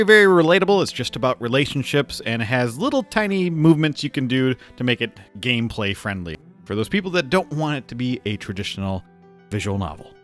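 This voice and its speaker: A man's voice: mid-range voice, a bit bright. A man hosting a game review video in an informal, chatty, lightly joking way. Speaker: video host reviewing games. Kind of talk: casual scripted video commentary. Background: background music.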